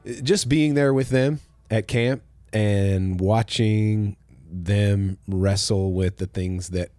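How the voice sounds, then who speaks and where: A man, a pastor, hosting a casual conversational podcast, relaxed and thoughtful, with his phrases often trailing off softly. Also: deep voice.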